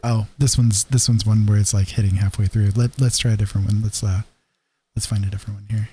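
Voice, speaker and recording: A man hosting a livestream, chatting casually in a halting, low-key, easygoing way. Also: fairly even intonation, background noise. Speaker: livestream host. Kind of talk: casual rambling livestream chat.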